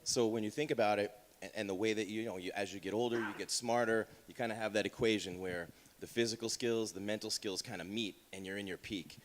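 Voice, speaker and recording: A man's voice: fairly low pitch, fairly even intonation, fast talker, hardly any pauses. A man working as a reporter, putting questions to an athlete at a press conference. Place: indoor media day room. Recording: echoey room with long reverb.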